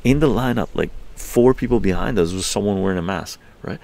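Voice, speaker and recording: An older man from Canada, livestreaming from his outdoor patio, telling a story in an animated, laughing way with crude language. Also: brisk, vocal fry. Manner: animated, laughing, swearing, acts out quotes in higher voices. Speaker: livestream host. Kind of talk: casual storytelling to a live chat.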